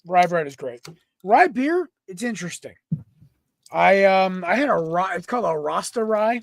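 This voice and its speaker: A young man hosting a casual sports podcast from home, a little raspy from smoke, rambling and clearing his throat often. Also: high-pitched, big pitch swings, normal speed, no room sound. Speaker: podcast host. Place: room at home. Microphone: computer mic, no headset.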